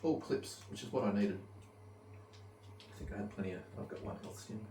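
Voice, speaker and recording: A man's voice: lowish voice, a little fast, warm tone. A man giving a casual, rambling commentary on gear and gameplay, hesitant and thinking aloud. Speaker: headphone reviewer and gamer. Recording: roomy, distant mic with faint background noise.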